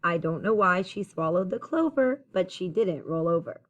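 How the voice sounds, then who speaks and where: A woman teacher reading a picture book aloud to young children in an expressive, sing-song, encouraging way. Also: lowish voice, moderate pace, highs cut off. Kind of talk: children's story read-aloud, addressing young listeners.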